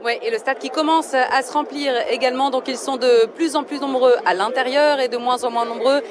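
A woman's voice: high voice, fairly even intonation, brisk. A woman reporting live for radio from outside a stadium, interviewing a fan. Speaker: field reporter. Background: crowd.

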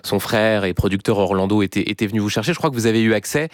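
Low-voiced male radio interviewer, dry studio sound. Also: quick pace, no room sound. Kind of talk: live morning radio interview. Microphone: close studio microphone.

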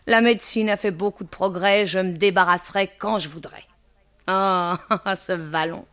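A woman's voice: medium pitch, fairly bright tone, natural voice. A woman playing a vain, self-adoring young pregnant woman in a literary drama, declaiming between tender crooning and scorn. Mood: scornful.

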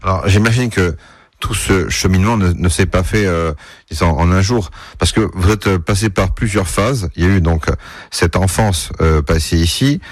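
A man's voice: low-pitched, normal speed, no room sound, studio quality. A man working as a radio reporter, interviewing a guest politely with some hesitation.

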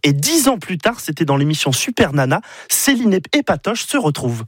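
A man's voice: fairly high pitch, sing-song, a little fast, very noisy. A man presenting a teasing celebrity gossip segment on a chat show. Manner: teasing, storytelling.

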